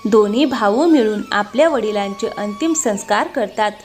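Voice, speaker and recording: A woman's voice: medium pitch, expressive, moderate pace. A woman giving scripted storytelling narration for a moral tale. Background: music.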